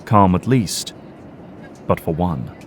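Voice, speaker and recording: A man's voice: low-pitched, expressive, slow pace, slight background noise. A man delivering grave, florid scripted narration for an audio drama.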